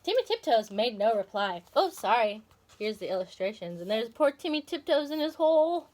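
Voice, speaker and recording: A woman's voice: on the high side, very animated, normal speed, a little mellow. A woman hosting and reading a children's story expressively, with casual asides to viewers. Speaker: storyteller and video host.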